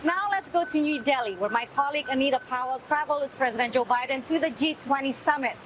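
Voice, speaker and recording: High-voiced woman anchor from Indonesia. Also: quick pace, noisy background. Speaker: news anchor. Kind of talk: news presenting.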